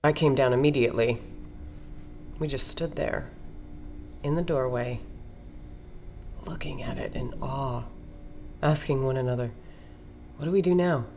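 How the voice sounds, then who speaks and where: Creaky-voiced, commanding cult leader woman. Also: a bass voice, a little mellow, slight background noise. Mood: reverent. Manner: measured, solemn, poetic. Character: charismatic, commanding woman, reverent leader of a cultish circle. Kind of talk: scripted podcast drama acting.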